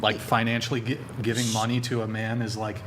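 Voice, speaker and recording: A man's voice: fairly low pitch, level tone, fairly quick, fairly bright tone, slight background noise. Joking man hosting a podcast panel. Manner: joking, hesitant, many fillers. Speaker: podcast host. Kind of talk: casual panel chat. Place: bright studio with a large panel. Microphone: desk mic on arm.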